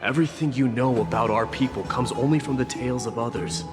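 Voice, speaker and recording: Give the proud crown prince of a warrior people , played by a man, a stern, formal, commanding delivery at a middle pitch. It is video game dialogue with long hall reverb and music clearly behind it. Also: fast, lightly acted. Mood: weary, solemn.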